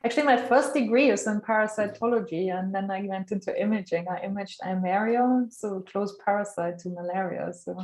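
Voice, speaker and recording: A woman's voice: mid-range voice, a little fast, hardly any pauses, darkish tone. A woman hosting a seminar over a video call, chatty and casual. Her voice sounds roomy and echoey. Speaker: host. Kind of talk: casual chat.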